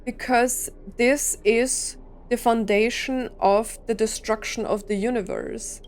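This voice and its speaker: A woman's voice: on the high side, fairly even intonation, takes it slowly, darkish tone. A woman gaming streamer giving casual reaction commentary, thinking aloud as she goes. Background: game music.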